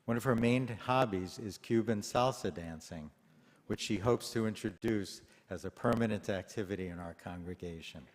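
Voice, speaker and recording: A man introducing the speaker at a congregation's service, in a warm, formal way. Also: fairly low pitch, normal speed. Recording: long hall reverb.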